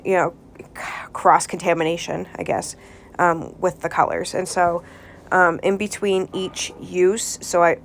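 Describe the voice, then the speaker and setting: A woman's voice: on the low side, a little hiss or hum. A woman vlogger with a stuffed-up nose from a cold, giving a casual nail tutorial at home with lots of ums and restarts. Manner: hesitant, many ums and restarts.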